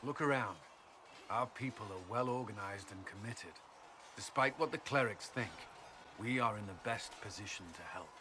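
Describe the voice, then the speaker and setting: A man's voice: fairly low pitch, moderate pace, close to an everyday voice. Firm military commander voiced by a man, noisy surroundings. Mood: resolute. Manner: firm, dutiful, formal. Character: earnest, commanding male military officer and former holy knight. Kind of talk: dramatic fantasy game acting. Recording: clear background noise and ambience.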